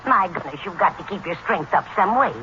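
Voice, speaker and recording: A woman's voice: on the low side, expressive, fairly quick, bright, forceful tone. An actress playing a kindly, fussy older landlady, motherly and chatty, in 1940s radio sitcom style. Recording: dull, noisy old radio recording.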